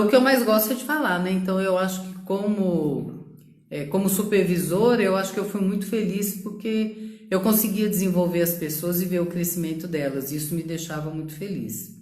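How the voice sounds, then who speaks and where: A woman's voice: fairly low pitch, a little mellow, reverberant. A middle-aged woman from São Paulo state, telling her own career story in a casual live talk, reflective and full of fillers and tag questions. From São Paulo state, Brazil. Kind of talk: live social media talk.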